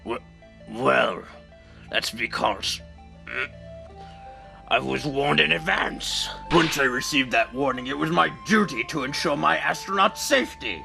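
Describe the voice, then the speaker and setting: A man's voice: mid-range voice, animated, unhurried, gravelly. A man voicing a pompous, dramatic old space-center director in a video game fandub, with theatrical military bluster and a stammer. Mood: defensive, blustering. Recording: amateur home recording under game music.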